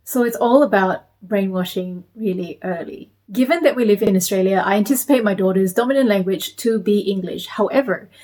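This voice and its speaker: A woman in her thirties from Malaysia, talking to camera in a measured, explanatory way as a parenting vlogger. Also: mid-range voice, darkish tone. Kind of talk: scripted talk to camera.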